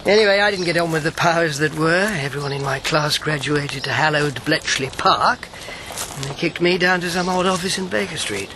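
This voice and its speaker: An actor playing a witty older British former wartime codemaker in a radio drama, wry, playful and self-deprecating. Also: male voice, a little high, fairly quick, pushed and bright. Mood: wry, anecdotal. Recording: clear background noise.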